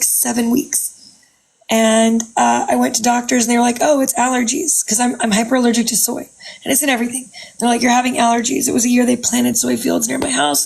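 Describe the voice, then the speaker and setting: A woman with a raspy voice, telling a personal story in casual chat on a video call. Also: fairly high pitch, low-quality recording. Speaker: content creator.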